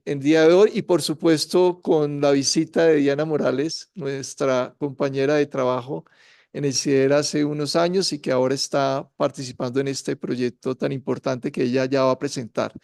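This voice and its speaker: A man welcomes the audience to a university seminar with a measured, courteous speech and frequent hesitations. His voice is smooth and clear, a little high for a man. The sound is dry and close. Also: a little mellow, dry recording. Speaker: university faculty host introducing guests.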